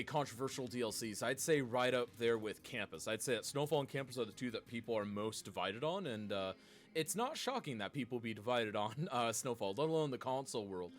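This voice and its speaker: A man hosting a livestream competition, interviewing contestants in an upbeat way with frequent fillers. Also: mid-range voice. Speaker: host.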